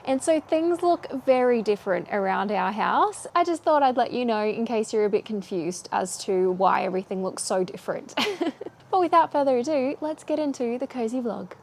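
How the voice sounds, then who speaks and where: A woman's voice: on the high side, animated, normal speed, creaky, a little mellow, a little hiss or hum. A woman with an Australian accent, chatting casually and bubbly about knitting as a vlogger, recorded outdoors on a camera mic. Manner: chatty and bubbly, laughs often. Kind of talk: casual chat. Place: outdoors in a garden.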